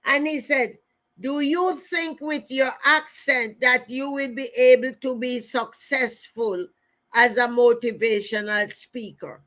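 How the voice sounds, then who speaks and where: A woman's voice: fairly high pitch, steady tone, slow, a bit bright. A middle-aged woman with a Jamaican accent giving a motivational talk through personal stories, emphatic, repeating phrases and speaking straight to her listeners. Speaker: motivational speaker and show host.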